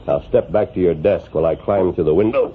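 An actor playing a calm, bold masked western lawman with a firm, clipped, authoritative delivery in a vintage radio drama. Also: sits low, quick pace, close to an everyday voice. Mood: stern, commanding. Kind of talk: 1940s radio western acting. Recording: muffled, noisy old radio recording.

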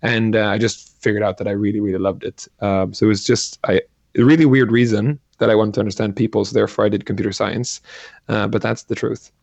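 A man's voice: lowish voice, fairly even intonation, quick pace, vocal fry, darkish tone, dry recording. A man, an entrepreneur answering interview questions thoughtfully, hesitating with many fillers. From Sweden. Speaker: entrepreneur and angel investor. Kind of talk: interview guest.

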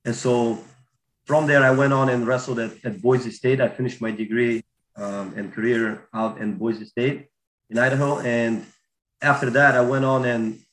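A man from Bulgaria, giving a casual interview in a halting way that repeats words. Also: medium pitch, little pitch movement, fairly bright tone, low-quality recording. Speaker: guest, wrestler and coach.

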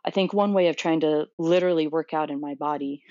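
A woman's voice: low voice, fairly quick, a little mellow, no echo, muffled recording. A woman in her thirties from Florida, a doctoral student answering interview questions thoughtfully, with many fillers and restarts. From Florida, USA.

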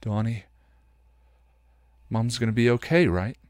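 A man's voice: medium pitch, a little slow, darkish tone, no echo, studio quality, pitched above the performer's own voice, lightly acted. A man reading the part of a worried young woman, firm and impatient, in a storybook narration. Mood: anxious.

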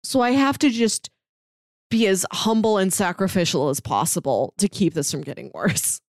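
A woman's voice: medium pitch, lively intonation, normal speed, no room sound, studio quality. An American woman podcast co-host retelling stories in casual, rambling and emphatic conversation. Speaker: podcast co-host discussing comics and books.

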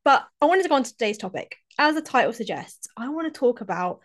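A woman's voice: on the high side, big pitch swings, quick pace. A woman in her thirties hosts her own podcast, sounding eager and conversational. A lingering cold leaves her voice a touch congested. She often repeats or restarts phrases as she talks. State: slightly congested, recovering from a cold. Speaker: podcast host and fitness coach. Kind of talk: solo podcast talk.

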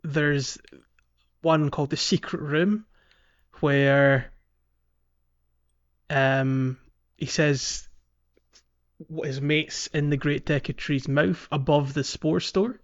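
A man co-hosting a casual gaming podcast, explaining in a halting way. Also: on the high side, fairly even intonation, muffled recording. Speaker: podcast co-host. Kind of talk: casual chat about a video game playthrough.

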